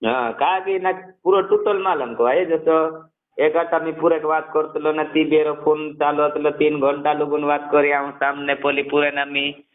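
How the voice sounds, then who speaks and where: A man's voice: on the high side, little pitch movement, moderate pace. A man in a casual phone conversation, explaining things at length and repeating himself, on a narrowband call recording.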